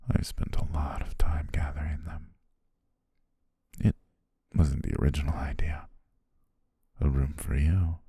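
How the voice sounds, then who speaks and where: A man playing an obsessive, possessive photographer in a hushed, intimate ASMR roleplay, speaking slowly in a husky, gravelly half-whisper. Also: extremely low pitch, little pitch movement, no room sound, clean studio sound, heavily acted. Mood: possessive, tender. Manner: slow, hushed, deliberate, with drawn-out pauses. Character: obsessive male yandere photographer, soft-spoken, possessive, menacing.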